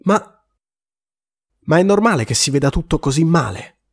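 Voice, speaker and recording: An actor playing an earnest journalist in a scripted mock-documentary prison interview. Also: male voice, fairly high pitch, lively intonation, normal speed. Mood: puzzled, probing. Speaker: actor in a comedy sketch.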